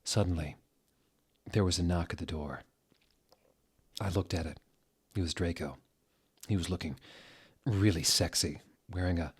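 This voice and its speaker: A man giving a mock-dramatic reading aloud, with deadpan, exasperated asides. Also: sits low, husky. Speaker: narrator doing a dramatic reading.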